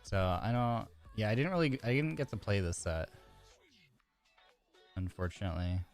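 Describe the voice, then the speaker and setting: A man's voice: lowish voice, expressive, normal speed, soft background music. A man streaming a card game, chatting casually and reading card text aloud, a little congested with a sore throat. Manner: chatty, reads card text aloud, frequent restarts and fillers.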